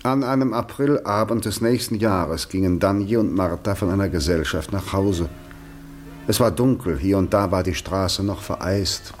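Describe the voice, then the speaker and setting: A man's voice: low voice, normal speed, faint music behind, close to an everyday voice. A man narrating a literary radio drama as a grave storyteller, reading from a script.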